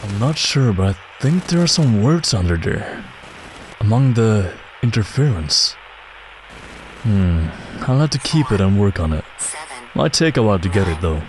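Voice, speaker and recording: Actor as a low-voiced audio technician amid loud noise. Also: male voice, big pitch swings, a little slow, rough recording. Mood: calm, considered. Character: calm, helpful male audio technician. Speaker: audio analyst character. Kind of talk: audio drama dialogue.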